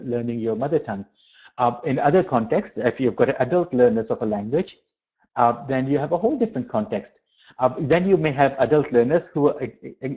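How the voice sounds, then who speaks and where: A man who grew up speaking Urdu and English, giving an informal academic webinar lecture as a professor, haltingly, often repeating words and restarting phrases. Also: medium pitch, a little mellow. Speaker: university professor of applied linguistics giving a webinar. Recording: dull, lo-fi webinar audio.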